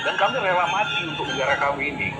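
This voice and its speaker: A man's voice: a little high, very fast. Impassioned man in an echoey hall. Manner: forceful, emphatic. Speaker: speaker. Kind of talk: impassioned speech. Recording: very echoey, loud music.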